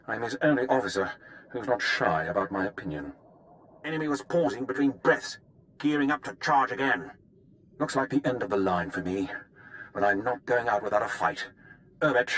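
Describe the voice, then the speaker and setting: An actor playing a gruff, defiant military major in a video game, with a plain-spoken, folksy manner. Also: male voice, mid-range voice, animated, moderate pace, a bit bright, natural voice, poor audio. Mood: bitter, then grim, defiant. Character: gruff, blunt, defiant male army major under siege.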